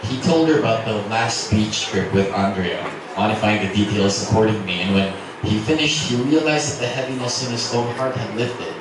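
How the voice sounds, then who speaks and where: A man's voice: fairly low pitch, moderate pace, few pauses. Man narrating romance in an echoey room. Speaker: narrator, reader at a literary festival. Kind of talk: dramatic scripted narration of a romance novel. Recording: echoey, noisy room from far off.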